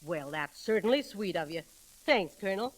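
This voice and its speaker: An actress playing a folksy middle-aged American Western matriarch in an old radio comedy, blunt, hearty and informal. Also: female voice, mid-range voice, lively intonation, moderate pace, lightly acted. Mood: hearty, welcoming. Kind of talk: 1930s-40s radio comedy acting. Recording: vintage radio recording with sizzling crackle.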